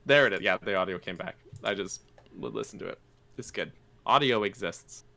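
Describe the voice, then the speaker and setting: A man's voice: fairly low pitch, a little fast, vocal fry, sharp tone. A man in his twenties, a podcast guest and streamer, chatting casually over an online call and laughing often. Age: 20s. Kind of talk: casual chat.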